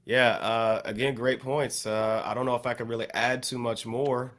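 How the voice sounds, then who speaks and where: A man talking as a podcast co-host, explaining things carefully and haltingly in a casual panel discussion. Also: medium pitch, level tone, a bit bright.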